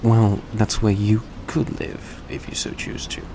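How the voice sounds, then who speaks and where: A man playing a courteous, gentle vampire in an intimate audio roleplay, speaking politely and a little hesitantly to the listener. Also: fairly low pitch, a little slow, natural voice. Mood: hesitant, caring. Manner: soft-spoken, polite, hesitant, formal phrasing. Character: courteous, gentle male vampire repaying a debt. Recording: steady background noise behind voice.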